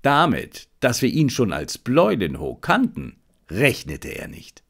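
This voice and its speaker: A man voicing a confident, analytical detective in an audiobook, explaining things emphatically and deliberately. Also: mid-range voice, huge pitch swings, creaky, a bit bright, heavily acted. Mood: assured, explaining.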